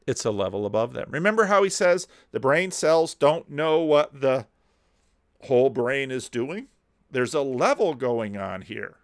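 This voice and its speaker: A man commenting on a video clip, talking in animated rhetorical questions with slow, deliberate emphasis. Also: mid-range voice, no echo, clean studio sound.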